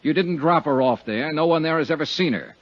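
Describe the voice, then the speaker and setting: A man's voice: a little high, expressive, a little fast. An American actor playing a tough, world-weary but humane male insurance investigator in a 1950s radio detective drama, terse and pointed. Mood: demanding. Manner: terse, hard-boiled, pointed. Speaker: insurance investigator hero and narrator. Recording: dull, lo-fi 1950s radio recording.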